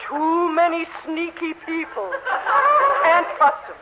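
Haughty, tipsy high-voiced matron. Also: female voice, flat delivery, slow pace. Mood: tipsy, suspicious. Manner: haughty, bossy, exclamatory. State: acting drunk, groggy. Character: imperious older married woman, tipsy and indignant. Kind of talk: 1940s radio sitcom acting. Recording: muffled, noisy 1940s radio recording.

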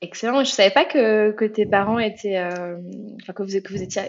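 A woman's voice: medium pitch, fairly quick, dull audio, a little hiss or hum. A woman hosting a casual business interview, friendly and hesitant, with many fillers. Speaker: host, company founder.

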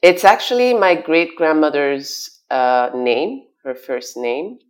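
Brief: woman guest, very deep voice, unhurried, hesitant with fillers. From Belgium. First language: French. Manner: hesitant, many fillers and restarts, laughs often. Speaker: guest, stylist. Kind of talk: conversational interview.

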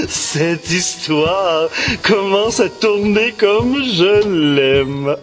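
Villain: gloating mad scientist, slow and theatrical, reverberant hall, music behind. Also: male voice, sits high, animated, a bit bright, exaggerated voice. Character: demonic mad male professor, gleeful villain. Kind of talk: children's radio drama acting.

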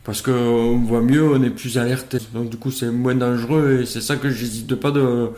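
A man in his forties with a southern French accent, talking hesitantly with many pauses as an interview guest. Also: mid-range voice, flat delivery, a little mellow. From southern France. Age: 40s. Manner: hesitant, many fillers and restarts. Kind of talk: conversational interview. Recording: echoey room.

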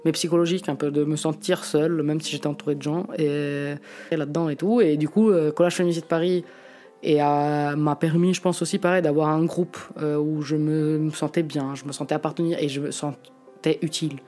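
A man in his late twenties from Italy, talking frankly and reflectively in an interview and often pausing to find his words. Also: on the high side, fairly even intonation, mellow, soft background music. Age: late 20s. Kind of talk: candid personal testimony.

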